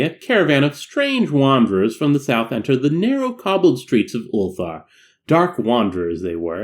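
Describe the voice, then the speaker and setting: A man reading a classic tale aloud as a narrator, in a dramatic, measured and solemn storytelling manner. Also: medium pitch, darkish tone. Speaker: amateur audiobook narrator.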